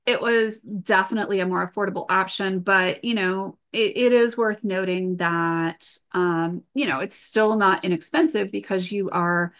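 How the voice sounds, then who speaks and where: A woman's voice: mid-range voice, normal speed, few pauses, a bit bright. A middle-aged woman from Venezuela and Brazil giving conversational, matter-of-fact interview answers as a podcast guest, explaining through personal stories.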